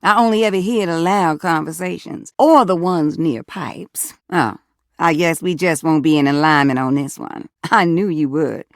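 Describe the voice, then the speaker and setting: A woman voicing a sassy, warm-hearted, folksy female video game character with an American accent and colloquial, coaxing talk. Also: sits low, moderate pace, no room sound, studio quality. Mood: folksy, candid.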